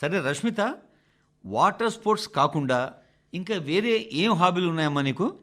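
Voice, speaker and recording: A man reading a scripted, formal radio address in speech, phrasing it in a measured, emphatic way with deliberate pauses. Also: sits high, lively intonation, a bit bright. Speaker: radio reader of a translated address.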